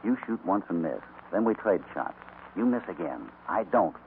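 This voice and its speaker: An actor playing a commanding, sharp-witted cowboy hero, brisk and authoritative, in a 1950s radio western. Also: male voice, sits low, sing-song, gravelly, heavily acted. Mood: purposeful. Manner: brisk, authoritative, clipped orders. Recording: tinny, noisy old radio recording.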